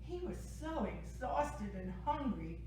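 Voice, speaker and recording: A woman's voice: mid-range voice, very animated, takes it slowly, very echoey, distant mic, noisy background. A woman voicing a kind, concerned male fox in a storybook.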